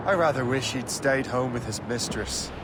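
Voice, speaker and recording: An actor playing an earnest, dry-witted young sea captain in a video game, speaking directly. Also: male voice, medium pitch, normal speed, lightly acted. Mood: dry, amused. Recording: loud boat and sea noise.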